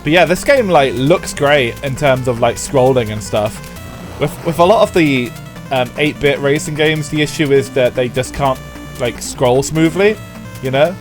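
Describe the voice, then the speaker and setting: A man's voice: mid-range voice, a little slow, soft background music. A man with a stuffy head cold, chatting casually to live viewers while playing an old racing game. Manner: rambling, chatty, talks to chat viewers. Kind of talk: casual live-stream commentary.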